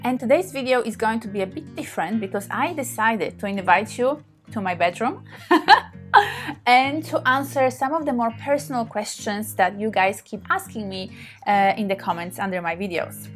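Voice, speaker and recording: Woman in her 30s, Polish accent, open chatty to-camera talk, faint music under. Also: a little high. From Poland. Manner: warm, open, laughs often, gestures while talking. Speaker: sex therapist, channel host. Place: small bedroom, indoors.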